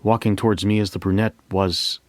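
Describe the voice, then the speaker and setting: A man's voice: lowish voice, steady tone, a little fast, no echo, natural voice. An actor playing a lovelorn, wounded man who confesses his loss in a dramatic first-person audio story monologue. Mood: wistful, puzzled.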